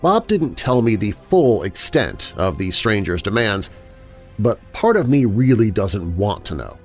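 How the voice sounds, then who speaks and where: A man reading first-person scary stories as a narrator, in a dramatic, expressive storytelling manner. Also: fairly low pitch, unhurried. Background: background music.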